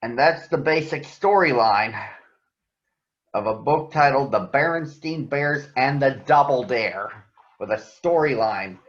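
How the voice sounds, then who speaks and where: A man reading a children's story aloud in an expressive, deliberate storytelling manner. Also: medium pitch. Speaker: storybook reader. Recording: roomy echo, dull lo-fi sound.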